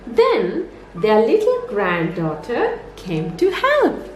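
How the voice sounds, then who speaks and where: A woman reading a children's story aloud as a nursery storyteller, in an expressive, sing-song way meant for young children. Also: fairly high pitch, takes it slowly. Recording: roomy echo, music underneath.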